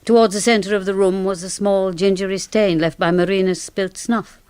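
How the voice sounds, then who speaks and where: A woman's voice: mid-range voice, steady tone, normal speed, a little mellow, clean tone, lightly acted. An actress playing a middle-aged British woman doctor in a radio murder mystery, authoritative and anxious. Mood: grave narration. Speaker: doctor and narrator. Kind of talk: British radio drama acting and narration.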